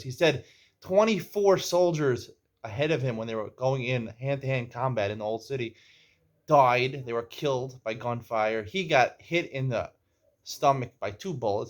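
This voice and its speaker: A man in his forties who hosts casually, in a teasing, storytelling way. His voice sits at a middle pitch, and he likes to keep things light with jokes. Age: 40s. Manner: jokey, teasing, chatty storyteller. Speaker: host. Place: Israel.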